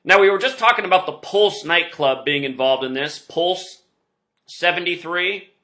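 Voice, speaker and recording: A man's voice: sits high, normal speed, bright, forceful tone. A man hosting an online show, speaking in a rambling, insistent monologue with emphatic delivery. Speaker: online video host.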